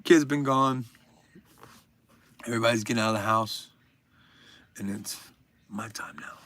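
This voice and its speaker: Gravelly man chatting on a livestream. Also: lowish voice. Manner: rambling, profane, joking with chat. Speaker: livestream host. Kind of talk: casual livestream chat. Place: at home.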